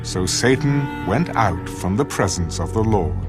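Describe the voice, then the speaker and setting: A man's voice: on the low side, lively intonation, measured pace, loud music behind the voice. A man giving solemn, storytelling scripted narration for a dramatised scripture reading.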